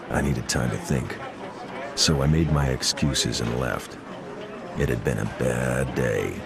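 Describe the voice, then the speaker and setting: Very deep-voiced noir pilot. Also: male voice. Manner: terse, brooding, deadpan. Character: wary, world-weary male pilot narrating like hardboiled noir. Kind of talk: scripted first-person noir narration. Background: loud music.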